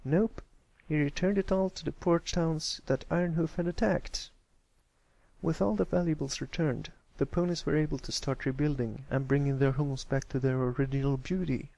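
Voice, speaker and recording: A man's voice: a little high, fairly even intonation, normal speed, gravelly, darkish tone, voice pushed up, rough recording. A man voicing an earnest pony telling a heroic tale in a dramatic storybook manner.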